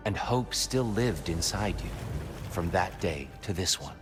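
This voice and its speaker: Music plays behind a wise mentor in a video game. His voice is low and calm. He speaks in measured, philosophical phrases, gently probing and reassuring.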